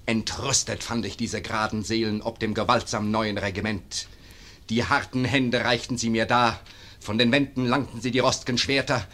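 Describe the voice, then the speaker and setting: An actor playing a male confederate messenger in a classic German radio play, reciting verse in a measured way. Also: medium pitch, a bit bright, close to an everyday voice.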